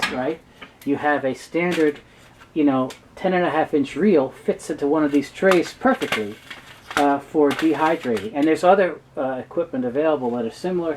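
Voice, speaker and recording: A man giving a halting, explanatory technical talk as an expert guest in an interview. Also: on the high side, noisy background. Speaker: guest expert on reel-to-reel tape.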